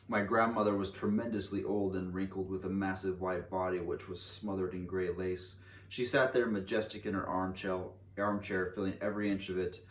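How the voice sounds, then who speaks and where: Man reading a storybook, echoey room. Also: on the low side. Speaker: storybook reader. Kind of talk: expressive read-aloud of a children's story. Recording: echoey room, distant mic, faint noise.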